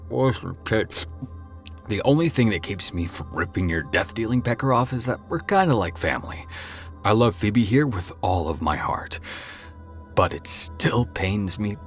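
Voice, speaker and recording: A man's voice: lowish voice, expressive, moderate pace, faint music behind. A man playing a cocky, crude, flamboyant antihero god in an audio drama, swaggering, sarcastic and teasing. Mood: menacing swagger. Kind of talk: audio drama character acting.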